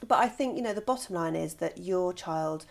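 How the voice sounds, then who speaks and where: A woman's voice: fairly low pitch, animated, moderate pace, vocal fry. A British woman answering interview questions as a guest, informal and thinking aloud in loose, conversational phrases. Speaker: guest, children's author and scriptwriter.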